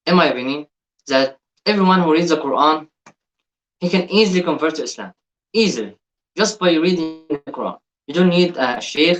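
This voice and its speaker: A man from Egypt with an Egyptian Arabic accent and Arabic as his first language, hosting a reaction video in an earnest, halting way that often repeats and restarts. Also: a little high, fairly bright tone, rough recording. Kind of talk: casual reaction commentary.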